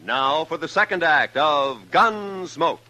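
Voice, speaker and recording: A man's voice: fairly high pitch, lively intonation, takes it slowly. An American man announcing on 1950s radio, reading a scripted promo in a polished, theatrical manner.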